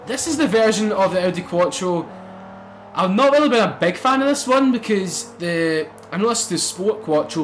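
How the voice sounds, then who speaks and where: A young man commentating a racing game let's play, excitable and swearing, bursting into loud exclamations. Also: sits high, a little hiss or hum. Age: young adult. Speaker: gaming channel host.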